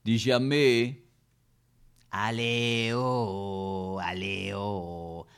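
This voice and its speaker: Man playing a slow, gruff Roman husband. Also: fairly low pitch, little pitch movement, fairly bright tone, full character voice. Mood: slow, oblivious. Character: dim, gruff, football-mad Roman husband, bored. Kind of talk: satirical one-man stage sketch.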